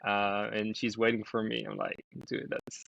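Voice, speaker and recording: Brief: young founder from Israel, chatty and loose, dry dull-sounding remote audio. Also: male voice, on the low side, little pitch movement, moderate pace, creaky, a bit bright, dry recording. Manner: loose and chatty, many ums and restarts. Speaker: guest, startup founder. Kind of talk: casual podcast interview.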